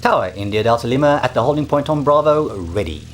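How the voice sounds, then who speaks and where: A man's voice: medium pitch, expressive, fast, a little hiss or hum, lightly acted. Man as pilot with swooping radio intonation. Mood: procedural. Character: male pilot calling the tower. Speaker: pilot in practice exercises. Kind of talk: scripted radio call readbacks.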